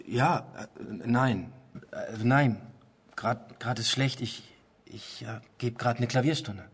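Husky, halting evasive lover. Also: male voice, medium pitch. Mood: flustered, evasive. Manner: halting, stammering excuses. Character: evasive, flustered male lover. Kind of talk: naturalistic radio drama dialogue.

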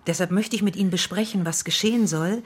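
A woman playing a direct, principled family doctor in a radio play, speaking in a measured, formal way. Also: lowish voice, slightly rough. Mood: matter-of-fact. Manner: measured, matter-of-fact, formal.

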